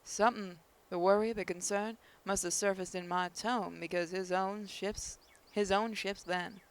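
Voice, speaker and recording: A woman reading visual novel narration aloud in a measured storytelling manner, with an occasional stumble. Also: medium pitch, steady tone, clean tone. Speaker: narrator, video game player reading aloud.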